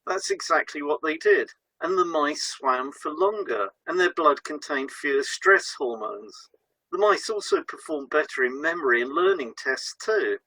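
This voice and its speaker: Bright, husky-voiced man presenting. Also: on the high side, animated, moderate pace, dry recording, rough recording. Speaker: podcast co-host and hypnotherapist. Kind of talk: scripted educational podcast presentation.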